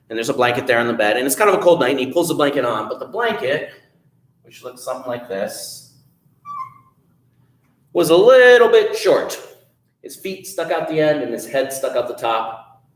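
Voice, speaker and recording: A man telling a story at a children's storytime, animated, adding sound effects and asking the children questions. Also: medium pitch, echoey room, poor audio. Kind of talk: children's storytime read-aloud.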